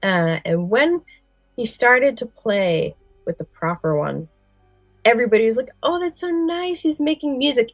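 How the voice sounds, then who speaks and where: A woman's voice: a little high, lively intonation, a little slow, no room sound, soft background music. A woman co-hosting a podcast, moving between casual chat and prepared summaries. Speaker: podcast co-host.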